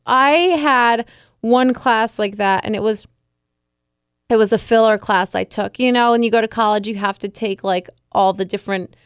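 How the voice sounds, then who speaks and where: Chatty woman with a smooth voice. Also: medium pitch, fairly even intonation, no room sound, clean studio sound. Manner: chatty, quick laughs. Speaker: podcast co-host. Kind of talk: casual chat.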